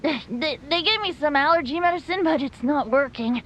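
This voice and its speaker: A woman's voice: sits high, moderate pace, a bit bright, a little hiss or hum. A woman voicing a breezy, boyish, easygoing bard in a video game, light-voiced, hesitant and playful, congested with allergies. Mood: sniffly, teasing. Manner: hesitant, airy, playful, throat-clearing. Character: boyish, breezy, easygoing wandering bard, secretly a wind spirit. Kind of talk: video game character acting.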